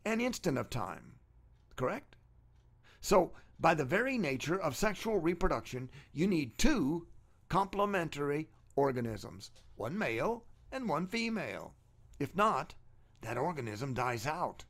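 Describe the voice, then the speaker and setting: A man's voice: on the high side, sing-song, measured pace, a bit bright. A man reading an argumentative text aloud as narrator, with emphatic, sarcastic delivery and rhetorical questioning. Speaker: narrator reading own book chapter.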